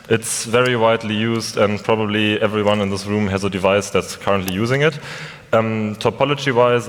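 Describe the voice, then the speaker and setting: A man from Germany with a German accent gives an explanatory technical presentation at a conference. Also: fairly low pitch. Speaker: conference speaker, software developer. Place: large conference hall. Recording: echoey hall with long reverb.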